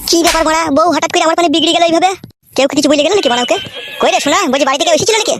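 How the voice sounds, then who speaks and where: Bumbling, fast-talking cartoon husband played by a man. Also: very high-pitched, fairly even intonation, background noise. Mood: baffled, coaxing. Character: bumbling, excuse-making young village husband. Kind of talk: comic cartoon dialogue. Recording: music under the voice.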